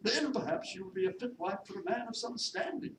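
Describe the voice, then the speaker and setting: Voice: mid-range voice, fairly quick, pitched above the performer's own voice, lightly acted. A reader voicing a haughty, peevish widow of the minor gentry who scolds in formal, bossy speech, as in a period novel. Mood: condescending. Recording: noisy echoey room, distant mic.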